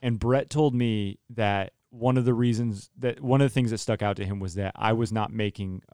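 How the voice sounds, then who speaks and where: A man's voice: fairly low pitch, moderate pace, a little mellow, smooth, no room sound, clean studio sound. A young man and worship leader telling a story in casual podcast chat, earnest and often restarting his sentences.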